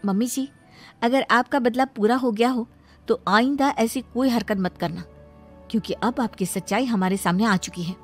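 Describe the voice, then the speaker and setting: A woman's voice: on the high side, normal speed, faint music behind, lightly acted. A woman voicing an earnest, wronged young wife who protests her innocence in a family cartoon. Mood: firm. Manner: protesting, defensive. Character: wronged young Indian wife, earnest, firm when cornered. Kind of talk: animated family drama voice acting.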